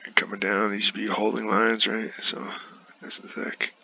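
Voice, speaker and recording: A man with a cold, a bit congested, casually talking through an inking demonstration as he works, with soft muttered asides. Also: lowish voice, moderate pace, vocal fry, breathy edge, highs cut off. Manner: relaxed, talks while working, soft asides. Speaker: comic artist demonstrating inking.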